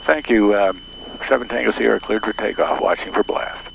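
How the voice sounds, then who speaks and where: A man's voice: on the low side, brisk, vocal fry. A man, a private pilot, making calls to air traffic control over an aviation radio. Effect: aviation radio transmission. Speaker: private pilot of a small plane. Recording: noisy cockpit radio audio.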